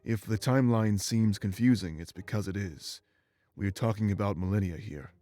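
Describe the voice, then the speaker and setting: An actor playing an ancient, remorseful immortal warrior in a video game, speaking in a solemn, measured, bitter way. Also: male voice, fairly low pitch, warm tone, no echo, close to an everyday voice. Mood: resigned. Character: ancient immortal former rebel warrior, remorseful and weary man. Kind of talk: dramatic game voice acting, confessional monologue.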